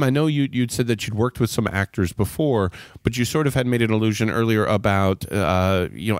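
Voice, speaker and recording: A man's voice: fairly low pitch, no echo, clean studio sound. A man hosting a podcast, speaking in a conversational, folksy way as he presents and chats. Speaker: podcast host. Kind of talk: ad read and interview chat.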